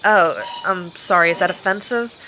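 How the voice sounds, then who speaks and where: A woman's voice: on the low side, normal speed, sharp tone, slight background noise, low-quality recording. A woman playing a plucky, sarcastic young farm girl in an audio drama, wry, blunt and exasperated. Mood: friendly.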